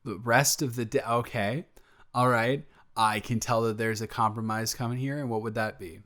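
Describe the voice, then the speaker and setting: A man's voice: medium pitch, fairly even intonation, normal speed, fairly bright tone, natural voice. A man playing a sheepish, good-natured roommate in an improvised roleplay audio, talking casually with hesitant, stammering repeats. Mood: awkward, hesitant. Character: sheepish, awkward, good-natured male roommate, secretly smitten.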